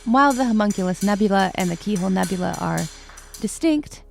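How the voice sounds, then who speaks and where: A woman playing an earnest astronomy professor lecturing her students in an audio drama, explaining with small hesitant pauses. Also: medium pitch, lively intonation, creaky, darkish tone, faint music behind. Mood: earnest, teacherly.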